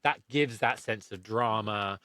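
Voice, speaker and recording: A man's voice: on the low side, a little slow, pushed and bright, no room sound. A man hosting a casual interview, speaking in a reflective, explanatory way and often rephrasing himself as he works through a point. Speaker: podcast host and interviewer. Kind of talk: casual, discursive interview conversation.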